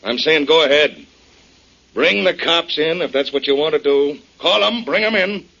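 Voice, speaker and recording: A defiant, needling American tough in a 1940s radio crime play. The voice is forceful and swings widely in pitch, slangy and sarcastic, on a muffled old recording. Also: male voice, lively intonation, moderate pace, creaky, exaggerated voice. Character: defiant, needling tough guy refusing the police. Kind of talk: 1940s radio drama acting.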